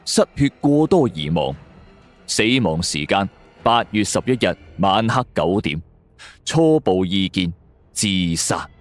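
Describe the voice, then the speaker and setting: A man's voice: medium pitch, no echo. A man narrating a scripted suspense story in a dramatic storytelling manner.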